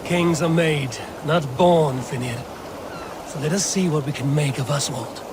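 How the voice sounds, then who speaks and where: A seasoned Viking warrior gives orders in a steady, commanding way, acted by a man. His voice sits slightly high. Loud ambient game noise plays behind him. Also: lightly acted. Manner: measured, commanding.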